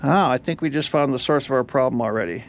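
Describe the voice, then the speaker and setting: A man's voice: mid-range voice, fast talker, vocal fry, slight background noise. A man giving a casual hands-on repair walkthrough, explaining each step aloud as he works. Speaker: host of an electronics repair channel.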